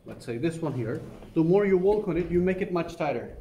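A man's voice: a little high, expressive, brisk, lo-fi sound. Turkish-accented man guiding a tour, echoey. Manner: patient, explanatory, checks in with "okay?". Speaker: carpet factory tour guide. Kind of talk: guided tour explanation. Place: indoor carpet workshop. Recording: echoey room, from a distance, noisy.

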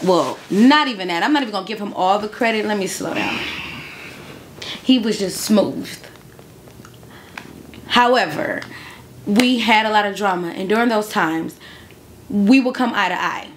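A woman in her twenties telling a story casually as an interview guest, emphatic and repeating phrases for effect. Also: medium pitch. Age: 20s.

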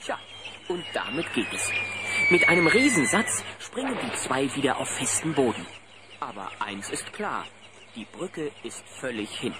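A man's voice: medium pitch, very animated, a little slow. A man narrating a children's audio drama in a dramatic storytelling manner. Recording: noisy old cassette transfer.

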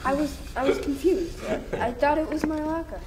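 A man's voice: extremely high pitch, normal speed, distant mic, background noise. A young actor reading a flustered, stammering freshman boy at a table read.

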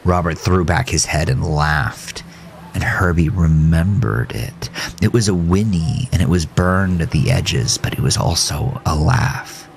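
A man's voice: deep voice, measured pace, vocal fry, faint music behind. A man narrating a scripted horror story as its storyteller.

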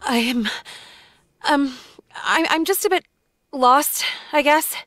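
A woman's voice: high voice, a bit bright, dry recording, studio quality. An actress playing a young woman singer in a game who sounds lost and hesitant. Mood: unsure. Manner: hesitant, halting.

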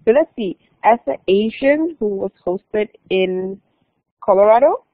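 A woman's voice: medium pitch, lively intonation, a little slow, no room sound. Young woman over a tinny call line. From Malaysia. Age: young adult. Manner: casual, repeats and restarts phrases, says "like" often. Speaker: exchange alumna presenter. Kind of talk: online conference presentation. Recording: tinny phone-like online call audio.

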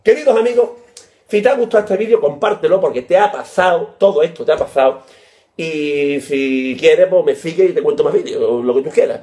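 A man, ranting loudly and comically to a webcam in a small room, gesturing wildly and swearing. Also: fairly high pitch, a little fast. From Spain. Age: 30s. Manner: loud, animated, gesturing wildly, swearing and shouting. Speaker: comedy vlogger. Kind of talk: comic rant to camera. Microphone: laptop webcam.

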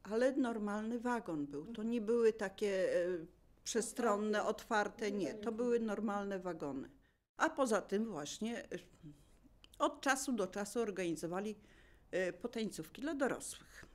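A woman's voice: mid-range voice, lively intonation, measured pace. An older woman from Poland, a local resident casually sharing her memories. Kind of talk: casual reminiscing.

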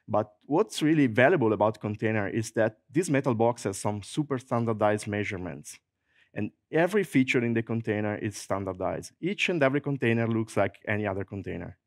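A middle-aged man with an Italian accent presents a technical session into a handheld mic in a large conference hall. He talks in a lively, joking way, filling pauses with "uh". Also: mid-range voice, clean studio sound. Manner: lively, fillers like "uh", jokes and laughs. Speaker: conference speaker. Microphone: handheld microphone.

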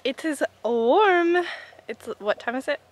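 A woman's voice: high-pitched, expressive, takes it slowly. A young woman in her twenties chatting casually to a handheld camera outdoors, eager about birds and bats. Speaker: travel vlogger. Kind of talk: casual travel vlog. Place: outdoors in hot bush and at a cave site. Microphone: camera held at arm's length.

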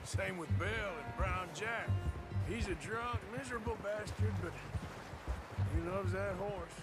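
An actor playing a rugged, loyal middle-aged outlaw of the Old West in a western game, with a folksy American drawl. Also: male voice, sits high, unhurried, loud music behind the voice. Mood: relaxed. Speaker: video game character. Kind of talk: naturalistic western game dialogue.

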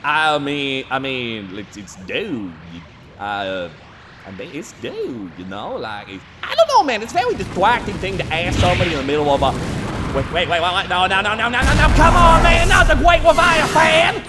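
A man's voice: high voice, big pitch swings, moderate pace. A man playing an ancient villain in a parody anime dub, grandiose yet whining like a slangy surfer dude. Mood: sheepish. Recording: loud music behind the voice.

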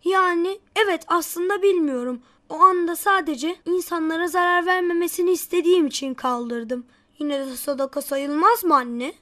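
Eager, very high-pitched little cartoon boy. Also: normal speed, pushed and bright. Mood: earnest. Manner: earnest, bubbly, childlike. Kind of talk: children's cartoon dubbing.

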